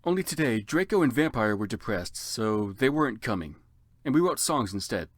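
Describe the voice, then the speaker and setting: A man's voice: medium pitch, big pitch swings, moderate pace, no room sound. A man narrating a story theatrically for comedy, with sardonic asides as commentator. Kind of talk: dramatic comic reading with dry asides.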